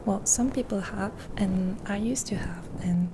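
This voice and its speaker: A woman speaking in a gentle, half-whispered ASMR roleplay, rambling softly and reassuringly with pauses, close to the mic. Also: mid-range voice, noisy background. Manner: caring, reassuring. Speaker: ASMR creator. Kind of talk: soft-spoken ASMR roleplay.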